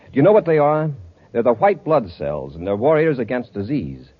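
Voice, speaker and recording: Casting: heroic, commanding space patrol officer for a vintage radio serial. Medium pitch, authoritative delivery, dull old-radio sound. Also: male voice, very animated, normal speed, breathy edge. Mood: earnest. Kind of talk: 1950s radio adventure serial acting. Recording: muffled, lightly noisy old radio recording.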